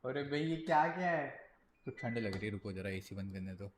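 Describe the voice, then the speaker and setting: A man streams a game with an ordinary mid-pitched voice and slangy, casual chat. He reacts excitably and talks to himself as he browses. Clear noise and clicking sit behind him. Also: moderate pace. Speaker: gaming streamer. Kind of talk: casual livestream chat with viewers.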